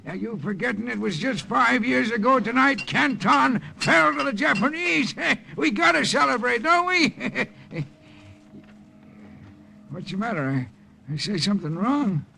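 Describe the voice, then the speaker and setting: An actor playing an old, wheedling waterfront drunk in a 1950s radio drama, talking in slangy, uneducated speech. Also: male voice, extremely high pitch, very animated, a little slow, gravelly, pushed and bright, exaggerated voice. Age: older.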